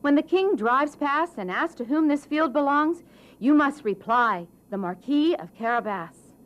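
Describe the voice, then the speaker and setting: A bossy, eager talking tomcat from a storybook, as a woman storyteller puts him on. The voice sits high and swings widely in pitch. He talks with urgency, exclaiming and giving orders like a born schemer. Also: expressive, measured pace. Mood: scheming, instructing. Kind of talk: children's fairy-tale storytelling.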